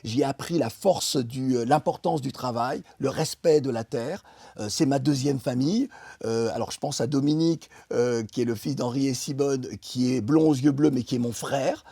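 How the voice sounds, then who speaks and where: Emphatic mellow-voiced man, slightly husky. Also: mid-range voice, no room sound, studio quality. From France. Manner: emphatic, many pauses and restarts. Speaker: interview guest. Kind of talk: impassioned political interview talk.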